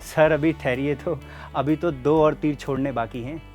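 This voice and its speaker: A man's voice: a little high, steady tone, moderate pace, darkish tone, faint music behind, natural voice. A man playing an earnest, persuasive sales rep, explaining things directly to the listener in a scripted training film. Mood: persuasive, polite. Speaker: presenter and sales representative. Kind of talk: scripted training film narration and role-play.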